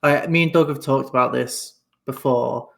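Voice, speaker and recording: A tabletop player with a gravelly, creaky voice puts forward an idea to his group. He speaks in a flat, level tone with little rise and fall, at a middle pitch. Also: normal speed. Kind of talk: casual table talk.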